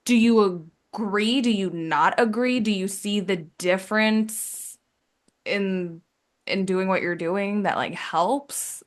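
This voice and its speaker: A woman's voice: mid-range voice, takes it slowly. A woman in her early thirties hosting a casual chat, curious and asking lots of questions. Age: 30s. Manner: curious, asks many questions. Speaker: podcast host.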